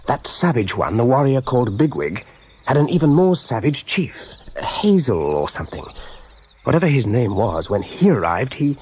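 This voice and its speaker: A man's voice: mid-range voice, sing-song, normal speed, darkish tone, breathy edge. A man narrating a radio drama as a storyteller, with vivid, suspenseful delivery. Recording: dull 1980s radio recording.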